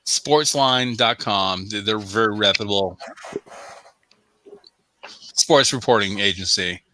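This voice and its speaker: A man's voice: on the low side, measured pace, bright, forceful tone, a little hiss or hum, low-quality recording. A man on a sports show panel chatting casually about football, often repeating himself for emphasis.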